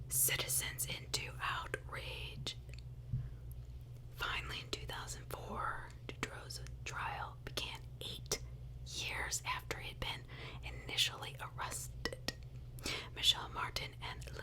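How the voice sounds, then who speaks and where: Woman whispering slowly in ASMR. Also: rough recording. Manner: emphatic, repeats words and phrases for effect, adds personal asides. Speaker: ASMR true crime host. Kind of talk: whispered ASMR true-crime narration.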